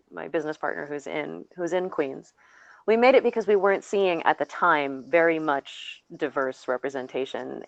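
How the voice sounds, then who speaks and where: Brief: female panelist with a lowish voice, explanatory and halting, close dry sound, slightly muffled. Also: gravelly, no room sound. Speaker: audio producer on a panel. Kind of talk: casual panel talk.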